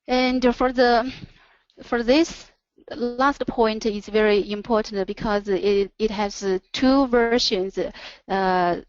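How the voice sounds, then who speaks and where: Halting non-native woman presenter, dull audio. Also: on the high side, fairly even intonation, clear, resonant tone, no room sound. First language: not English. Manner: halting, pausing between short phrases. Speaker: webinar presenter, company product representative. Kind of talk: product presentation over slides.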